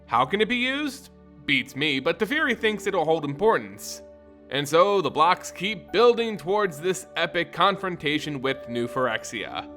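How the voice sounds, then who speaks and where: A man's voice: a little high, big pitch swings, normal speed, sharp tone, faint music behind. A man hosting a fantasy lore channel, delivering scripted narration and commentary.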